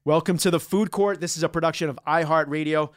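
A man's voice: on the high side, steady tone, quick pace, over music. A man hosting a food podcast as a playful judge, joking and laughing with his guests. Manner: jokey, laughs often.

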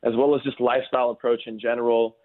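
A man's voice: mid-range voice, flat delivery, fast. A man, a wellness coach, explaining his work earnestly with many fillers. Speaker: podcast guest, wellness coach. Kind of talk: casual interview talk. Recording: phone-like remote line.

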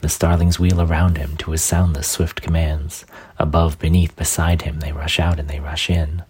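A man reading poetry aloud in a measured, solemn and lyrical delivery. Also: very deep voice, little pitch movement, darkish tone, breathy edge. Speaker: poetry reader.

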